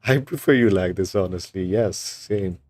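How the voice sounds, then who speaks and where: A man's voice: deep voice, moderate pace, a little mellow. A man streaming a video game, giving casual playthrough commentary as he reacts to the story.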